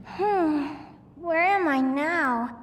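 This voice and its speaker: A woman voicing a bright, dreamy young female adventurer in a video game, expressive and talking to herself. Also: sits high, very slow, poor audio. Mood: groggy, puzzled. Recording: background music under the voice.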